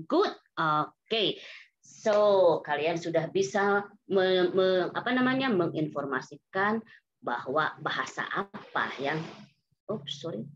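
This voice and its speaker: A woman teaching German in an online class, switching between Indonesian and German and stretching out model sentences slowly for her students. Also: fairly low pitch, muffled recording. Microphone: video call.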